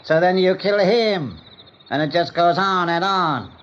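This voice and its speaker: A man's voice: sits high, measured pace, a bit bright. A man playing a proud, ruthless rabbit general, commanding and menacing, in a radio play. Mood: cold, superior. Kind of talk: 1980s radio play acting. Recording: dull vintage radio recording.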